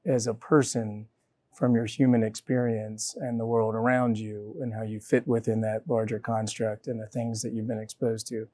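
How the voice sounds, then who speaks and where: A man on a panel reflects and summarizes a point. His voice is mellow and dark, recorded dry and close with little room sound. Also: on the low side, level tone, normal speed, no echo. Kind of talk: roundtable discussion.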